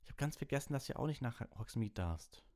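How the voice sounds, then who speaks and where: A friendly, hapless storybook schoolboy, played by a male reader. He sounds naive and pleading, his voice lifted high above the narrator's. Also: expressive, fairly quick, warm tone, close to an everyday voice. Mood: hopeful, friendly.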